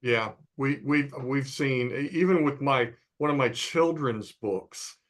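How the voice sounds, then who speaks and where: A man's voice: mid-range voice, slow. A man hosting a publishing webinar, chatting casually and agreeably on a video call. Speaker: publisher host.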